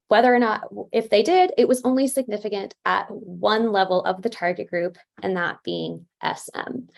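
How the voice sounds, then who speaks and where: A woman's voice: a little high, vocal fry, darkish tone. A woman presenting research at an academic conference in a casual way, with many fillers and self-corrections.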